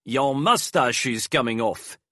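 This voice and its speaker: A man voicing a demanding, suspicious male guard in a high, loud, strained tone. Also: a little slow, dry recording, studio quality. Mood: accusing.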